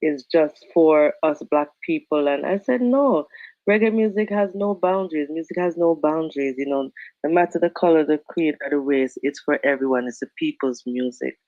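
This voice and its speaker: A woman's voice: sits low, normal speed, no room sound. A woman reggae singer, chatting as a guest and filling her talk with "you know". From Canada and Jamaica. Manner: warm, chatty, many "you know" fillers, Jamaican phrasing. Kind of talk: casual interview chat. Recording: dull lo-fi call audio.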